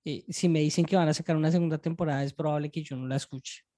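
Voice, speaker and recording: A man's voice: fairly high pitch, fairly even intonation, fast talker, mellow. A man with a Colombian accent hosting a podcast in a casual, informal review chat, phrasing things a little hesitantly. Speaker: podcast host.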